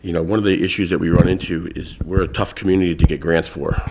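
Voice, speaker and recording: A man in his thirties with a congested voice, chairing a town board meeting in a casual, halting way full of fillers and restarts. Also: low-pitched, steady tone, darkish tone. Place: town hall meeting room.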